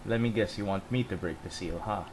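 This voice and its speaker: A man's voice: lowish voice, brisk, background noise. A man streaming a video game, casually commentating and talking back to the characters in a teasing way. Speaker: gaming streamer.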